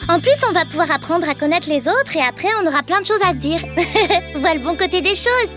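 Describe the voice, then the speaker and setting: A woman's voice: extremely high pitch, animated, a little fast. A woman playing a small, friendly, earnest cartoon schoolgirl with a childlike delivery. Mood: reassuring. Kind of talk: animated series dubbing. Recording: clear music behind the voice.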